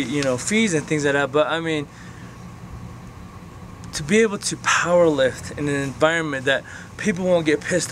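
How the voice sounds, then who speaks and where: A man's voice: on the high side, a bit bright, a little hiss or hum. A man in his twenties talking casually to his camera outdoors, rambling and frank, swearing now and then. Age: 20s. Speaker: vlogger. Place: outdoors on open flat ground. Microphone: camera mic, close framing.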